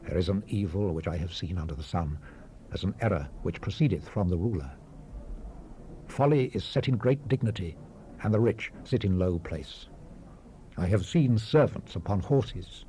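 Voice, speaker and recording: A man playing a grave, world-weary biblical preacher in a dramatized scripture reading, measured and oratorical, with emphatic rises and hushed falls. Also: sits low. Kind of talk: solemn dramatized Bible reading. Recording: hissy 1970s cassette rip, background ambience.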